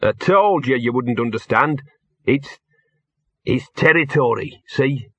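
Male narrator as talking dog, mournful, know-it-all, muttering, husky, colloquial, audiobook character, dull-sounding recording. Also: mid-range voice, lively intonation, takes it slowly, fairly bright tone, no echo, heavily acted. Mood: explaining, exasperated.

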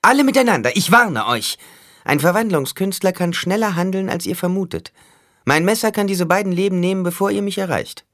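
A man's voice: on the high side, sing-song, moderate pace, no room sound, studio quality, voice pushed up. A man voicing a cunning shapeshifter villain in an audiobook, smug and taunting as he negotiates with menace. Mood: threatening. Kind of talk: audiobook character acting.

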